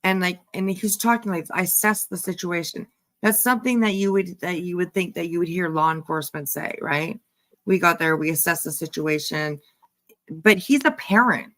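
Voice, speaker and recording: A woman host giving casual reaction commentary, musing in a halting, repetitive, emphatic way. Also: on the low side, a bit bright. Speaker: host giving commentary on a true-crime case.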